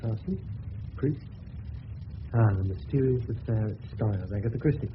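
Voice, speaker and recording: A man's voice: lowish voice, normal speed, lightly acted. A plain-spoken English detective constable acted by a man. He is deferential and slightly unsure of himself. The old radio recording is narrow and distinctly noisy. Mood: musing. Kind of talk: radio drama acting.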